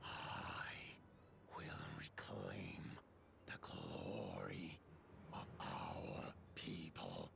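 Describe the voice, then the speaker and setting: A man voicing a menacing, proud giant conqueror in a game, with a gravelly half-whispered growl and slow, grandiose delivery. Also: rumbling low, huge pitch swings, background noise, voice pushed down, full character voice. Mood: scornful, threatening. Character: menacing giant conqueror, proud, bitter, ancient-minded warrior. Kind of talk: voicing game cutscene dialogue.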